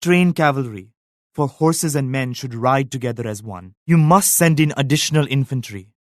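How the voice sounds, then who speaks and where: An actor playing a devout, solemn emperor and military leader in a strategy game, with measured, grave, pious declamation. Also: male voice, mid-range voice, dry recording, clean studio sound, natural voice. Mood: solemn, resolute. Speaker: video game character voice actor. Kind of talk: scripted strategy game voice lines.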